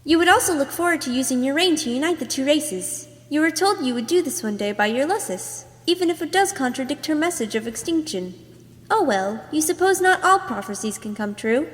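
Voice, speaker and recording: A woman's voice: sits high, lively intonation, a little fast. A woman reading scripted second-person character narration, as an amateur voice actor making a reel. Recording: long hollow reverb.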